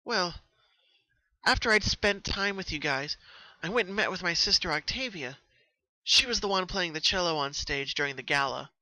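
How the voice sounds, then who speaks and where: Man voicing an emotional high-pitched young pony girl. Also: lively intonation, moderate pace, pushed and bright, no room sound, exaggerated voice, poor audio. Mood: recalling. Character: troubled young female party pony, tearful and sweet.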